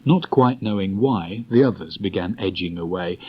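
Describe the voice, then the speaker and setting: A man's voice: deep voice, moderate pace, slightly rough. A man narrating a children's story from a script as an audiobook reader. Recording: dull restored cassette tape.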